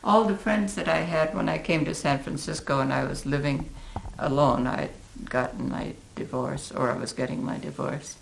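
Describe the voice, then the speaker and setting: An elderly American woman in her eighties recalling her life in a reflective interview, halting and thoughtful, with a gravelly, creaky voice. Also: low voice, slight background noise, low-quality recording. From Oregon, United States. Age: 80s. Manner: halting, deliberate, thoughtful pauses. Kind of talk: reflective oral history interview.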